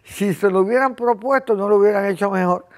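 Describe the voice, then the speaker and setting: Older Cuban woman host in her 80s, passionate and rambling, keeps clearing her throat. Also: mid-range voice, fairly quick. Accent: Cuban Spanish. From Cuba. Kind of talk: casual conversation.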